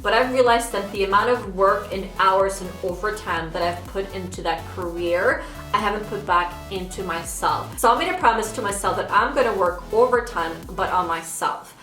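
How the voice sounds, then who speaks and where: A woman's voice: medium pitch, moderate pace, bright, forceful tone. A young woman, an Afghan American lifestyle vlogger whose first language is Dari, chatting casually to her viewers and mixing in Dari phrases. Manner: upbeat, mixes English with Dari, frequent "uh" and "like". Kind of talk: casual vlog talk to camera. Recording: echoey room from a distance with faint music.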